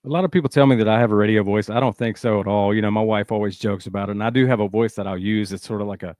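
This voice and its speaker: A man's voice: lowish voice, steady tone, very fast, darkish tone, no echo, clean studio sound. A man hosting an interview podcast, asking questions and chatting casually.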